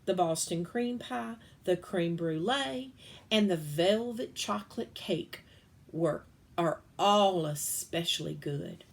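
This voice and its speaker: A polite storybook waiter who speaks softly and courteously, put on by a woman reading aloud. He sounds gentle and attentive, with a slightly lowered, smooth delivery. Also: slow. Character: polite, gentle restaurant waiter, adult man.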